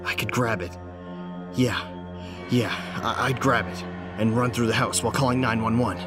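A man's voice: mid-range voice, fairly even intonation, moderate pace, close to an everyday voice. An actor playing a frightened, armed man trapped at home, telling his horror story in intense, fragmented bursts. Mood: shaky, rising panic. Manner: intense, breathless self-talk with short fragmented phrases. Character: panicked man trapped at home by an unseen intruder, armed, frantic. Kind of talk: first-person horror audio drama narration. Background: music.

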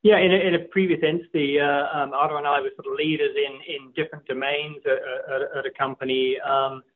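A man's voice: a little high, fairly even intonation, a little fast. Middle-aged man on a tinny phone line. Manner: rambling, repeats words, laughs often. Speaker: company co-founder, guest. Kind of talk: casual interview talk.

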